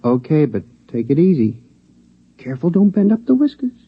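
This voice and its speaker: A blunt, high-voiced talking lab mouse. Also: male voice, big pitch swings, normal speed, slightly rough, exaggerated voice. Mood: blunt, insistent. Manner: plain-spoken, dry, insistent. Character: talking lab mouse, henpecked husband, blunt and matter-of-fact. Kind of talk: 1950s radio drama acting. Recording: dull vintage radio broadcast recording.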